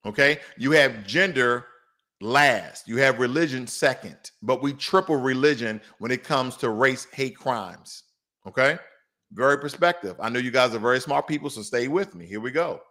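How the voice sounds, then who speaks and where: A man's voice: medium pitch, bright, forceful tone. An American man hosting a news commentary show, talking viewers through charts in a didactic, emphatic way and often checking that they follow.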